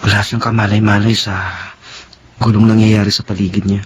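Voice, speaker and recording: An actor playing an anxious son thinking aloud in a Filipino radio drama monologue. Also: male voice, fairly low pitch, level tone, brisk, natural voice. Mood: anxious, pondering. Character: worried young uncle, an anxious son. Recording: faint noise and ambience behind.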